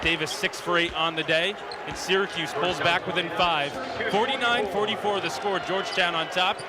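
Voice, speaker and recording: High-pitched male basketball play-by-play announcer over loud arena. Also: normal speed. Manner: energetic, rising excitement on big plays. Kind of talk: live play-by-play commentary. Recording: loud arena noise behind the voice. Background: basketball arena with bouncing ball.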